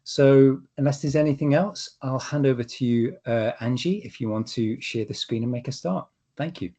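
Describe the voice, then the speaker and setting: A man's voice: mid-range voice, normal speed, dull audio. Laid-back male host opening an online meetup, casual and jokey. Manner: relaxed, joking, many uh fillers.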